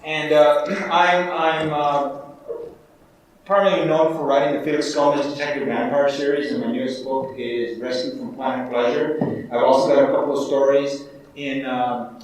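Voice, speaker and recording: A man's voice: mid-range voice, little pitch movement, normal speed, slight background noise. A man author on a panel, friendly and joking with the audience. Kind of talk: convention panel introduction. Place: large convention room. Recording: echoey room, far from the mic.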